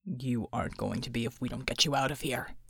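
A woman voicing weary, gruff male soldiers in battle, speaking tersely in an audiobook. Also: extremely low pitch, brisk, a bit bright, dry recording, studio quality, pitched below the performer's own voice, full character voice. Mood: weary, grim.

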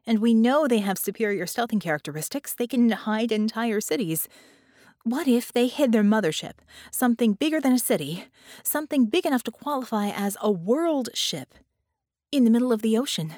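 Woman playing a quick-witted young heroine, urgent, keyed-up, higher voice, dry recording, audiobook. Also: no room sound. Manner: excited, urgent, thinking out loud. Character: intense, quick-thinking young female magician hacker. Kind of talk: audiobook character voice.

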